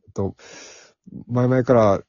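A man introducing himself casually in a halting, hesitant and modest way. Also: medium pitch, steady tone, darkish tone, dry recording. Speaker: new member of amateur drama group, guest. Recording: dull lo-fi online audio.

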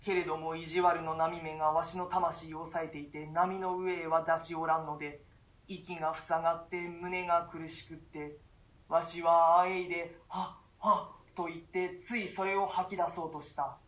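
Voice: mid-range voice, flat delivery, normal speed, fairly bright tone, natural voice. Someone playing a jailed duke, scared, old-timey solemn talk, echoey room. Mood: fearful. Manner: archaic, solemn storytelling with fearful gasps. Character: imprisoned duke haunted by a nightmare, male, grave. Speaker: voice actor in a radio reading. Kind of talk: classic stage play read as radio drama.

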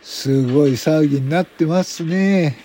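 男性が、ラジオドラマで興奮しやすく羨ましがりな若手刑事を、語尾を伸ばす浮かれた口調で演じている。. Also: male voice, a little high, a little slow, mellow, voice pushed up, close to an everyday voice. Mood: excited. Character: excitable young male police detective, gleefully envious. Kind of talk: radio drama acting.

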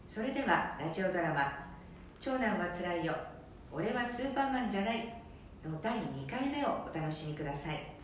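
A woman narrates a radio drama in a very echoey room, a little far from the mic. There is faint noise around her mid-pitched voice. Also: lively intonation, moderate pace.

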